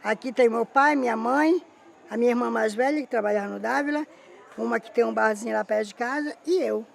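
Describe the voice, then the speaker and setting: A woman's voice: on the high side, a little fast, gravelly. A Brazilian woman casually recalling her old home and family while pointing them out in a photo. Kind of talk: casual recollection.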